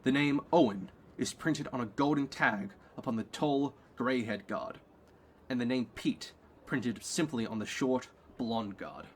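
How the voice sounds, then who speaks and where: A man's voice: mid-range voice, expressive, measured pace, a little hiss or hum. A man reading scripted scene narration for an audio play.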